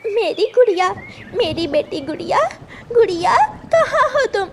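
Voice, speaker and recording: A woman's voice: extremely high pitch, lively intonation, measured pace, noisy background. A woman voicing a caring, emotive mother bird in a cartoon. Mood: distraught. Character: caring, trusting mother bird, anxious for her daughter. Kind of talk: Hindi cartoon character dialogue.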